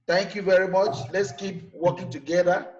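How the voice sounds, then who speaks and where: A man with a fairly high voice thanks his instructor over a video call, restarting his phrases as he goes. His audio is roomy and muffled. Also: fairly even intonation, normal speed. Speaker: course participant.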